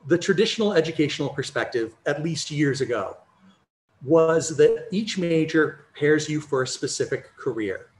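A man's voice: on the high side, lively intonation, darkish tone. Man lecturing over a video call. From United States. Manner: measured, explanatory, personal examples. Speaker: conference lecturer. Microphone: video call, computer mic.

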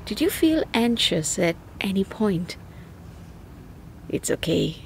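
A woman guiding a breathing meditation in a soothing, unhurried way, as scripted narration. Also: mid-range voice, sing-song, a little hiss or hum.

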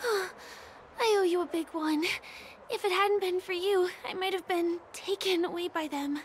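An actress playing a gentle, polite, grateful young woman who guides visitors, in an English video game dub. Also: extremely high pitch, a little slow, a little hiss or hum. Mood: grateful, relieved.

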